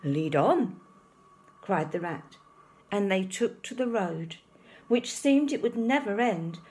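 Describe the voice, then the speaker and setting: A woman reading a rhyming children's storybook aloud in an expressive narrating style. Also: medium pitch, measured pace.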